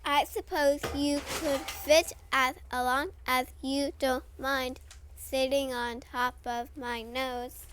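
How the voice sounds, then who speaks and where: A woman's voice: sits high, fairly even intonation, slow pace, a bit bright, slight background noise. A woman playing a big, ticklish, grudging but good-natured bear in a readers theater story.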